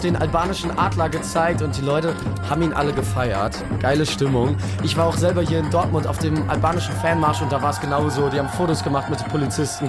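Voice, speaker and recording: A man from Germany hosting a radio show, chatting in an upbeat, casual way. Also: fairly high pitch. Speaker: radio host.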